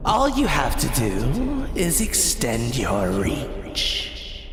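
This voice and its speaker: A man playing an eerie, theatrical host who introduces strange tales in a grandiose, drawn-out manner. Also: mid-range voice, huge pitch swings, heavily acted. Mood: ominous. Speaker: show host. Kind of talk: theatrical horror anthology introduction. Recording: echoey long reverb under loud music.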